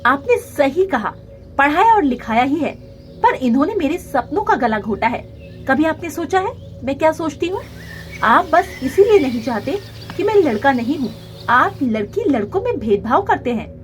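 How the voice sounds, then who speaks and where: A woman's voice: sits high, big pitch swings, moderate pace, faint music behind. A woman voicing a defiant young female cartoon bird who argues back assertively.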